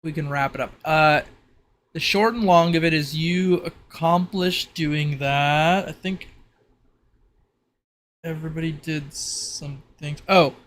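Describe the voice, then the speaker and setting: A man acting as game master, improvising narration for a tabletop roleplay in a halting, think-aloud manner. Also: a little high, fairly even intonation, a bit bright.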